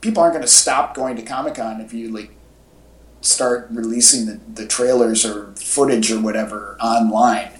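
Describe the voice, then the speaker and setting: A man talking casually as a podcast co-host, in a halting, conversational way. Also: mid-range voice. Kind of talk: casual chat about movie news. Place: indoor room with echo. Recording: echoey room, distant from mic.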